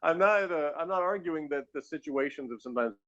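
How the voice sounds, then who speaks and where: A man's voice: a little high, animated, brisk, fairly bright tone. Man in casual discussion, dull lo-fi sound. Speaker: review show host. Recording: dull, lo-fi audio.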